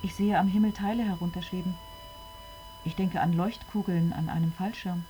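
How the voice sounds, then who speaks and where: An actress playing an eyewitness in a radio drama, recounting in plain, observant statements. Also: female voice, lowish voice, steady tone, moderate pace, warm tone, close to an everyday voice. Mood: awed, observing. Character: female eyewitness of a night-time disaster. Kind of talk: radio drama narration, present tense. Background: faint music.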